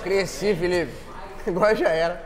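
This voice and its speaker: A man's voice: high voice, normal speed, a crowd in the background. A man in his thirties, a footballer playfully boasting in a guessing game, in an indoor gym. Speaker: football player, quiz contestant. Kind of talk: joking banter in a guessing game.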